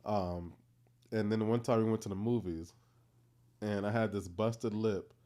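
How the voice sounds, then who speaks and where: A man's voice: lowish voice, measured pace. Playful man with a Boston accent. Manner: playful, animated storytelling, teasing. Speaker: podcast co-host. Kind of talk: casual chat.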